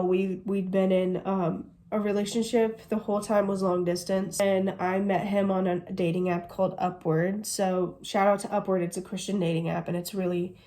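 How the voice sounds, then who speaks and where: A woman's voice: medium pitch, mellow. A woman in her twenties from the United States, chatting casually through a Q&A vlog and gushing as she goes. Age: 20s. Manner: chatty, gushing, says "literally" often. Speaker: vlogger.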